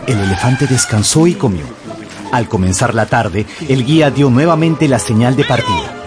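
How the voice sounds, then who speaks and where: A man narrating a radio drama in Spanish with deliberate, suspenseful storytelling. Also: medium pitch. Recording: music behind the voice.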